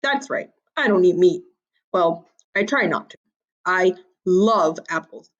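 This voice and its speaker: A woman's voice: lowish voice, expressive, slow, fairly bright tone, dull audio. A woman voicing a sly, hungry storybook wolf who narrates his tale to children in a wry, theatrical, confiding way. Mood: mock-innocent, confiding.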